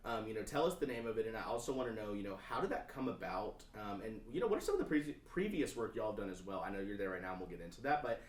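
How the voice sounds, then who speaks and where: A man's voice: fairly low pitch, expressive, racing, hardly any pauses. A male TV news interviewer asking casual, rambling questions with many fillers. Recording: roomy sound, distant from mic.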